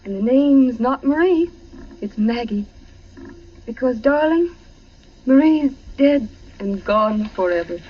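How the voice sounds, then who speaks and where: An actress playing a gentle, perceptive wife in a 1940s radio suspense drama. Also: female voice, a little high, expressive, slow, long pauses. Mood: composed. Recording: muffled 1940s radio recording.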